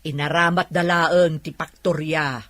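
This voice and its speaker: A man playing a grudging, contrary factory colleague in an Ilocano radio drama, with a husky voice. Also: fairly high pitch, fairly even intonation, a little slow, a bit bright, no room sound. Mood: disapproving. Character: grudging, sceptical factory colleague who argues back.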